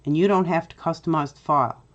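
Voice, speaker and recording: A middle-aged woman with a Newfoundland accent, chatty and step-by-step as she hosts a software tutorial. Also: low voice, fast talker, lo-fi sound. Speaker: tutorial host. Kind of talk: screen-recorded software tutorial.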